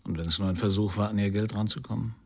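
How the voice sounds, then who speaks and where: A tired former policeman in a radio crime drama. He questions with sceptical persistence in a low, husky voice with a gravelly edge. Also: fairly even intonation, fast. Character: weary male former policeman turned investigator, probing, sceptical. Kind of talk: 1990s German radio crime drama acting.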